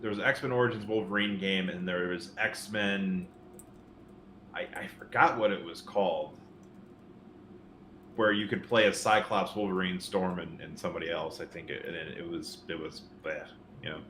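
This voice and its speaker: A man's voice: deep voice, fairly even intonation, normal speed, bright, forceful tone. A man co-hosting a podcast, chatting casually in an informal, rambling way. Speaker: podcast co-host. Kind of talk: casual chat about games and movies. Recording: roomy sound, faint noise.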